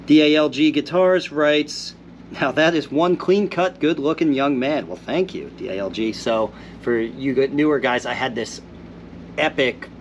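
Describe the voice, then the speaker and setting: A man's voice: mid-range voice, a little slow, a little hiss or hum. A man hosting a viewer Q&A, still a little congested after being ill, reading out comments and answering them in an easygoing, thoughtful way. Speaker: host answering viewer questions.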